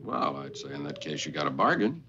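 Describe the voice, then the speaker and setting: An actor playing a wry character in a 1960s television space adventure. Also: male voice, extremely low pitch, sing-song, a little fast, background noise. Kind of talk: 1960s television drama acting. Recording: dull old TV soundtrack, loud music.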